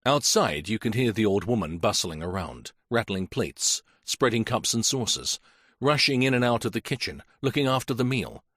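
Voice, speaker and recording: A man narrating a mystery audiobook in a measured, expressive reading. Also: on the low side, dry recording, studio quality. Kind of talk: scripted story narration.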